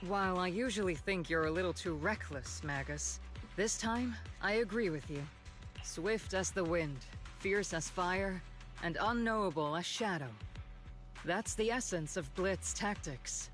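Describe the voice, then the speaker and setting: A woman playing a shrewd, composed colonel in a video game, speaking formally and deliberately with authority. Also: lowish voice, soft background music, close to an everyday voice. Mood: composed, resolute.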